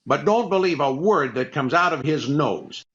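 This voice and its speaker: A man's voice: fairly high pitch, animated, normal speed, a bit bright. A man playing a vain, flustered comedian in 1940s radio comedy style. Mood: eager, smitten. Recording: slightly dull, band-limited sound.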